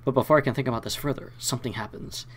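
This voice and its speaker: A man's voice: mid-range voice, steady tone, rapid-fire, slight background noise. A man hosting a let's play, reading game narration aloud and commenting casually.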